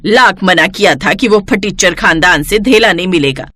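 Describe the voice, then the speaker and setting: A woman voicing an older, greedy mother-in-law in a family story, sharp-tongued and disdainful. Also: mid-range voice, normal speed, no room sound, clean studio sound, natural voice. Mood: scolding.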